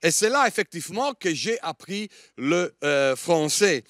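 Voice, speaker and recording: Man, middle-aged, Italian accent with a Liège touch, sermon, hesitant with fillers, high voice, dry close sound. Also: very animated, measured pace, a bit bright, no echo. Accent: Italian, with a Liège French accent. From Italy. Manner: hesitant, frequent "euh" fillers while searching for French words. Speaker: guest preacher.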